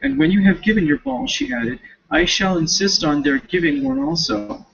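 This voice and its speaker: Guy student reading a book out loud, swingy intonation, raspy, trips on words, muffled lofi recording. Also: sits high, normal speed. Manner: expressive, stumbling over words. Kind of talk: reading a novel aloud with casual asides.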